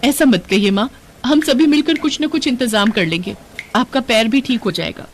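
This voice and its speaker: A woman's voice: on the high side, lively intonation, normal speed, a little hiss or hum, pitched above the performer's own voice. A woman voicing a dutiful, hopeful young village daughter-in-law in a story, with an earnest, pleading manner. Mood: reassuring.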